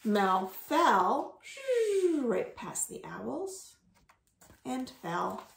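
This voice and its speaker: A woman reading picture books aloud to young children at home, very expressively, with drawn-out words. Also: mid-range voice, gravelly, a little hiss or hum. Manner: expressive, with drawn-out words, sound effects and gasps. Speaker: children's storytime reader. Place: indoors at home. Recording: roomy, echoing home recording.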